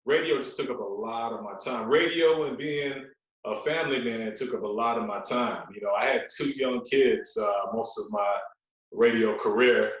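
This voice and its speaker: A very echoey, roomy recording of an American man in his forties talking as a podcast guest. His pitch sits in the middle range, and he tells stories casually, doubling back on words for emphasis. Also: moderate pace. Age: 40s. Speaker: radio personality, podcast guest. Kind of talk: casual interview storytelling.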